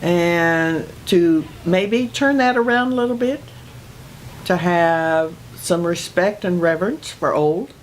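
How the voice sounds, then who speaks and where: An older woman activist speaking in measured, emphatic interview remarks. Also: on the low side, slight background noise.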